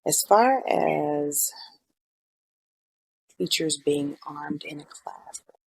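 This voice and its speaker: A woman who works as a teacher, giving a measured, hesitant answer in a panel discussion with frequent pauses. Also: deep voice, gravelly, husky, no echo, low-quality recording.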